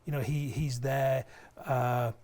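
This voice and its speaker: A man's voice: mid-range voice, fairly even intonation, takes it slowly, darkish tone. A British man in his fifties explaining a product as a sales representative, enthusiastic, often repeating words as he goes. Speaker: company sales representative, guest. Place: indoor audio showroom. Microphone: lapel mic.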